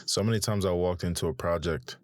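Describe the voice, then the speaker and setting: A man speaking as a guest in a casual interview, relaxed and hesitant, with many fillers. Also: deep voice, brisk, no room sound, clean studio sound. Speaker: audiobook narrator, guest.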